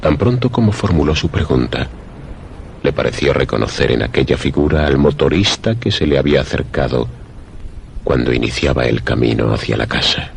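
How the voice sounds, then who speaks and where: A man narrating a scripted suspense radio drama in a measured, formal, literary manner. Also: extremely low pitch, expressive, vocal fry, slight background noise.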